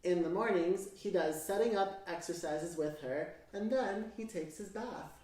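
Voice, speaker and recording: A woman's voice: on the low side, measured pace. Very echoey, distant storybook narrator, a woman. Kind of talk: expressive children's read-aloud narration. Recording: very echoey room, distant mic, music. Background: piano music.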